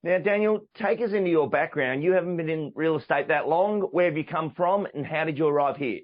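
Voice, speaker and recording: A man's voice: fairly high pitch, brisk. A man hosting a sales podcast and interviewing a guest, with an enthusiastic, polished presenter delivery. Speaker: podcast host and sales trainer. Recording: dull lo-fi audio with faint music.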